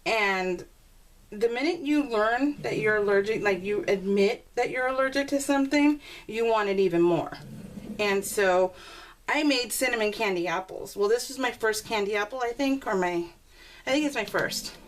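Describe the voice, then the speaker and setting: A woman chatting casually and rambling as a craft video host, giggly and self-deprecating, telling stories with animated exclamations. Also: medium pitch, moderate pace. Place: at home, voice recorded live while crafting. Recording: noisy home recording with clicks.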